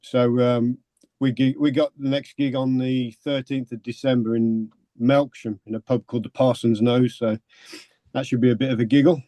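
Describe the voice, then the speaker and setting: A man's voice: medium pitch, flat delivery, normal speed, mellow, no echo. A man who plays drums, talking in a laid-back, easygoing way. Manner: laid-back, laughing easily. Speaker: drummer. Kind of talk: casual interview.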